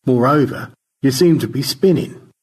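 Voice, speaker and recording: A man's voice: mid-range voice, moderate pace, darkish tone, slightly rough, voice pushed up, natural voice. A man voicing an earnest, fussy space crewman in an audio story, speaking in a precise, formal, politely roundabout way. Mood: earnest, awkward. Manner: precise, circumlocutory, hesitantly polite.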